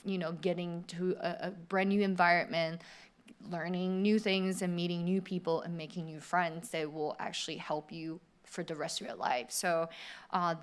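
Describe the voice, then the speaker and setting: A woman's voice: on the low side, clear, resonant tone. A middle-aged woman from Taiwan giving an upbeat open house presentation in a theater, speaking directly to prospective students. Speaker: animation faculty presenter. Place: theater auditorium.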